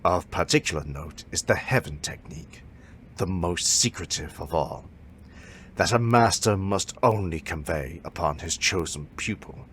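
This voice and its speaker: A man voicing an aging martial arts master in an amateur audio drama, calm, formal and solemn, instructing in a measured way. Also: sits low, a little hiss or hum. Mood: solemn, instructive.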